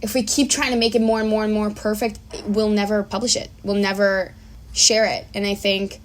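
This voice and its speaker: A woman's voice: mid-range voice, flat delivery, brisk. A woman speaking as an interview guest in casual, informal conversation with emphatic repetition. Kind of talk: casual chat.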